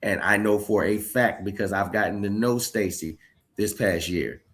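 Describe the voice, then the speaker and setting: A man in his late thirties with a fairly low voice. He co-hosts a casual debate-style podcast and presses his opinions insistently, ready to argue a point. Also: steady tone, normal speed, a bit bright. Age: late 30s. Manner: insistent, argumentative. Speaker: podcast co-host.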